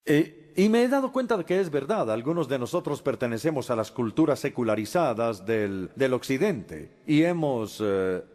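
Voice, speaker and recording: A man giving a lecture as a Spanish voice-over, measured, with frequent pauses and hesitations. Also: mid-range voice, darkish tone.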